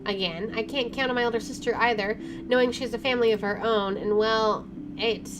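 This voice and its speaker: A chatty, expressive woman reading a visual novel aloud as she plays, with casual jokey commentary. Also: fairly high pitch, fairly quick, background noise. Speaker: gamer doing a first playthrough.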